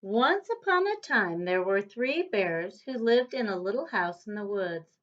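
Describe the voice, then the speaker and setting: A woman reading a children's story aloud as a teacher would, in a deliberate storytelling manner with emphatic contrasts. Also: mid-range voice, lively intonation, fairly bright tone. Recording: dull, lo-fi recording.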